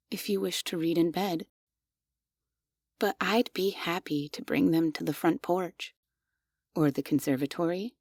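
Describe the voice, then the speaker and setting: A woman voicing a devoted, obsessive female android maid in an audio roleplay, speaking softly and formally with quietly unsettling questions. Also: lowish voice, no room sound, studio quality. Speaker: voice actress.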